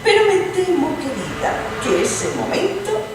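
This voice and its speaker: An actress plays a worldly, arch princess in a radio-theatre reading. Her delivery is animated and theatrically drawn out, with condescending wit. She is distant from the mic in a very echoey, noisy room. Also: very high voice, unhurried, exaggerated voice. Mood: knowing caution. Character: witty, worldly aristocratic princess, sharp advocate for women. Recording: echoey hall from far off, noisy.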